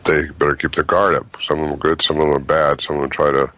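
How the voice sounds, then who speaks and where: A man's voice: a bass voice, little pitch movement, fast, a bit bright, no echo, highs cut off. A man speaking as a guest on a show, giving an earnest warning.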